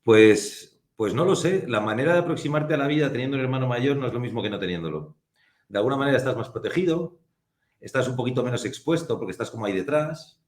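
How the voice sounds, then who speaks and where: A man's voice: medium pitch, lively intonation, darkish tone, reverberant. A man from Spain, a film producer, talking reflectively and unhurriedly as a guest in a casual interview. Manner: thoughtful, reflective, unhurried with frequent pauses.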